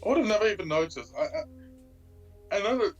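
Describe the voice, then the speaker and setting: A man's voice: sits high, sing-song, moderate pace, dull audio, faint music behind. A man giving casual film commentary as one of a group of co-hosts. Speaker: co-host of a film commentary show.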